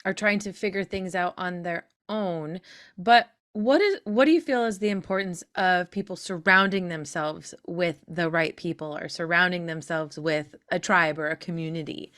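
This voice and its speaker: A woman's voice: fairly low pitch, normal speed, fairly bright tone. A woman hosting a podcast in a conversational style, introducing and questioning as an interviewer does. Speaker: podcast host.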